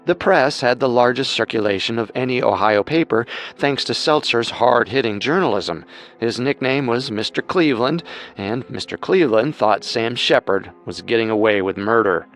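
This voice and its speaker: A man's voice: mid-range voice, animated, normal speed, fairly bright tone, soft background music. A man hosting a true-crime show with scripted narration. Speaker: host and narrator.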